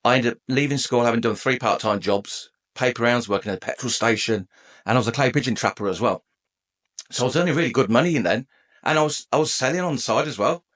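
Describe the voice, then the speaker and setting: Man from England, forties, mid-range voice, podcast guest, chatty, jokey, animated. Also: medium pitch, fast talker. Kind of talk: conversational storytelling.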